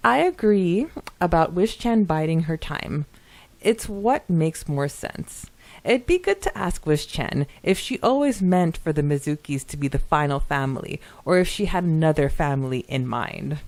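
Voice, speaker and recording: A woman with a fairly low voice co-hosts a podcast in a casual, conversational way. She sounds close to the mic in a dry space, moving between reading comments aloud and chatting about them. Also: animated, normal speed, a little mellow, no echo. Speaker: podcast co-host.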